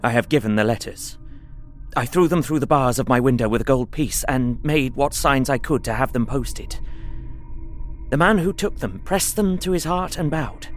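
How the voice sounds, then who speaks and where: An actor playing an earnest, anxious young solicitor held captive, narrating his journal in a measured, literary way for an audio drama. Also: medium pitch, soft background music, natural voice.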